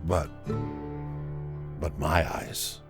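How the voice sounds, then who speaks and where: An actor playing a stoic, grief-numbed man narrating a memory in an audio drama, gravelly and somber, with halting phrasing. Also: very deep voice, exaggerated voice. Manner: slow, halting, somber delivery. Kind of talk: dramatic first-person audio drama monologue. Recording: music behind the voice.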